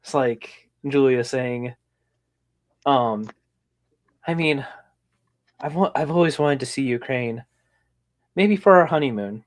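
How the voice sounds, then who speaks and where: A man's voice: mid-range voice, slow, long pauses. A man playing a tabletop role-play game, talking hesitantly with lots of fillers. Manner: hesitant, many fillers, giggly. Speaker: player. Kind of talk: casual chat at a game table.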